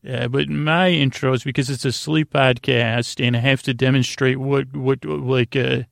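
A creaky, fry-laden man's voice at a middling pitch, in a dry recording with no room sound. He hosts a podcast meant for bedtime, meandering from tangent to tangent. His delivery is halting, and he keeps breaking off and rephrasing his own thoughts. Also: little pitch movement, no room sound. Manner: meandering, hesitant, halting self-interruptions. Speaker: podcast host. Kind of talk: rambling, tangent-filled bedtime podcast monologue.

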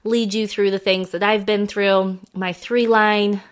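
A woman's voice: medium pitch, flat delivery, measured pace, clear, resonant tone. Congested woman chatting on her podcast. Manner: warm chatty rambling, affectionate to listeners. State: congested from a head cold. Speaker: podcast host. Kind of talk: casual solo podcast chat.

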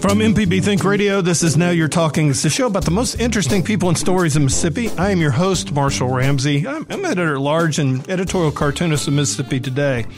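A man with a light Southern accent hosts a radio interview, chatty and quick with jokes. His voice sits a little high for a man. He talks in a loose, friendly back-and-forth. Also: over music. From Atlanta, Georgia. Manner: chatty and jokey, quick asides. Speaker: radio show host.

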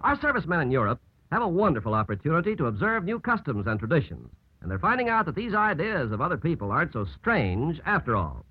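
A man's voice: on the high side, wildly swooping pitch, fast, slightly rough, dry recording. A man with an American accent reading scripted narration as a mid-century radio announcer. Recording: dry, narrow old radio broadcast.

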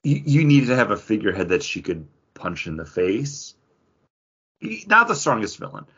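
Guy on a podcast talking movies, kinda raspy low voice, conversational, bit dull recording. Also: huge pitch swings, moderate pace, a bit bright. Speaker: podcast co-host. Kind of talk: casual film discussion.

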